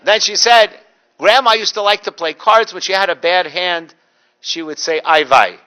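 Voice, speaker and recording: A middle-aged man, a rabbi giving a public talk, telling stories with dry humor and mimicking the people in them. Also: fairly high pitch. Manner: animated, mimics voices in anecdotes. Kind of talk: storytelling lecture with dry humor.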